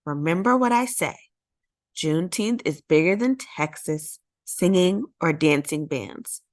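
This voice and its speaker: Woman acting as elderly great-grandmother, storybook, animated pitch, emphatic, lyrical, dry close sound. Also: unhurried, no echo. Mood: jubilant, earnest. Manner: emphatic, exclamatory, lyrical phrasing. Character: Opal Lee, warm elderly great-grandmother storyteller, hopeful and jubilant. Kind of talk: children's storybook character reading.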